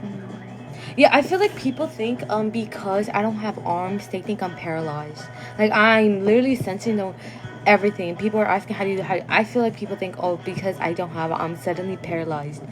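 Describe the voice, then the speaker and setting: A woman's voice: medium pitch, a little fast, over music, low-quality recording. A young woman chatting casually on a phone livestream; English is not her first language, and she laughs often and sometimes stumbles over words. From Guatemalan family.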